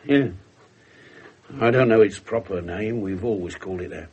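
A man playing a gruff, wry, irreverent English police inspector in a radio drama, blunt and husky. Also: lowish voice, normal speed, a little mellow, muffled recording. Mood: dry, sceptical. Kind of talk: 1970s radio drama acting.

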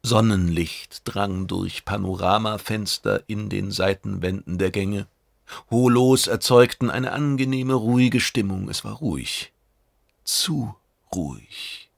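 A man reading a science fiction novel aloud, with measured, dramatic narration. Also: on the low side, gravelly, no echo. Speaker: audiobook narrator.

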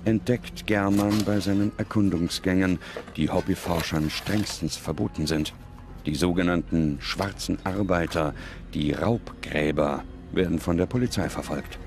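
A man delivering grave, measured scripted narration as a documentary narrator. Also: low voice, vocal fry, background noise.

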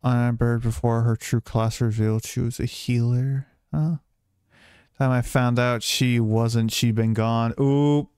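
A man's voice: mid-range voice, steady tone, takes it slowly, a little mellow, clear, resonant tone, dry recording, clean studio sound. A man chatting casually to viewers as a game streamer, rambling along and swearing now and then. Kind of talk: casual livestream chat to viewers.

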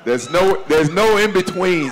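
A man in his forties from doing stand-up comedy at a church celebration, swinging between loud high exclamations and low confiding asides. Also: animated, measured pace, rough recording. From Chicago, USA. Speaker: comedian pastor. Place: banquet hall at a church event. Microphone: handheld microphone. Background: audience laughter.

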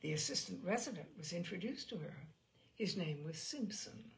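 A woman reading literary fiction aloud as a storyteller, in a measured, expressive audiobook style. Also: lowish voice, slight background noise.

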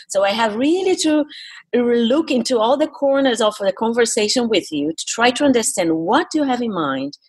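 A woman's voice: medium pitch, breathy edge. A middle-aged woman with a Brazilian accent and Portuguese as her first language, telling her story conversationally in an interview, explaining with emphasis. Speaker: podcast guest, speaker and coach.

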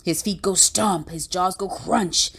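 A woman's voice: lowish voice, creaky, fairly bright tone, husky, heavily acted. Woman as a dramatic, warning mother dinosaur. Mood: ominous warning. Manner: slow, ominous storytelling warning.

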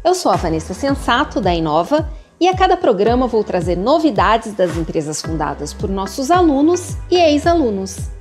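High-voiced Brazilian woman hosting a podcast. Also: sing-song, moderate pace, hardly any pauses, darkish tone. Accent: Brazilian Portuguese. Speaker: podcast host. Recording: roomy echo, faint music.

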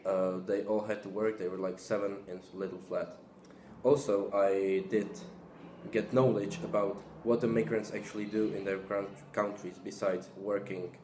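Young male student testimonial, second-language English, flat delivery, roomy sound. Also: on the low side, slight background noise. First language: not English. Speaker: exchange student. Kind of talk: testimonial to camera. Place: small indoor office. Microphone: camera a short way off. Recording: echoey small office.

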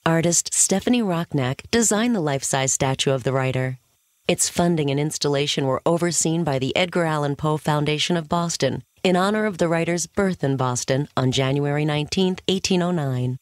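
A woman's voice: deep voice, fairly quick, no echo. A woman narrator reading a scripted factual piece.

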